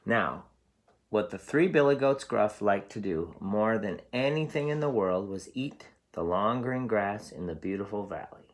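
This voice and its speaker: A man's voice: lowish voice, animated, a little slow. A man reading a storybook aloud as a teacher, explaining things simply for young children.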